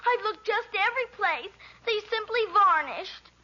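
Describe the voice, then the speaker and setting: A dull vintage radio recording of a little girl in a family comedy. The voice is extremely high and bright, plaintive and melodramatic. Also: expressive, a little slow, exaggerated voice. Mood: distressed, whiny. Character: dramatic, whiny little schoolgirl daughter. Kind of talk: 1940s radio comedy acting.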